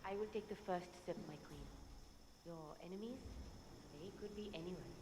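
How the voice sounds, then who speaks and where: A woman's voice: fairly low pitch, normal speed, lightly acted. An actress voicing a loyal, watchful handmaid who speaks deferentially to her queen in a game. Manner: deferential, cautious. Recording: game audio with ambient noise.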